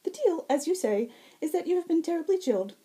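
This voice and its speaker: A woman's voice: extremely high pitch, sing-song, quick pace, mellow, voice pushed up. A woman voicing a playful, teasing male fox fairy herald with courtly, flowery phrasing and a silvery tone, in a fantasy story reading. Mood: cheerful, explaining.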